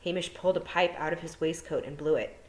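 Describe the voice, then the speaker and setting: A woman's voice: deep voice, steady tone, quick pace. A woman narrating a children's fantasy novel as a storytime reader. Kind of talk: storybook narration read aloud. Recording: roomy echo.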